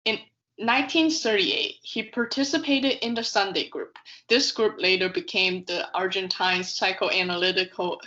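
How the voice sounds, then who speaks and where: A woman's voice: on the low side, pushed and bright, muffled recording. A woman narrating a video essay from a script, reading carefully with occasional stumbles over words. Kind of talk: scripted narration, read aloud.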